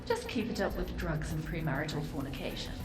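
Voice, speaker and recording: An actress playing a haughty, seductive succubus demon in a video game, sardonic, florid and condescending. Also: female voice, low voice, big pitch swings, fairly quick, roomy sound, far from the mic, music in the background. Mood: seductive, smug. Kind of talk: video game character acting.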